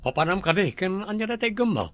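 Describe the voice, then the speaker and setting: A man's voice: sits high, very animated, fast, no room sound. Dry-voiced young Ilocano man. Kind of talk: comedy drama acting.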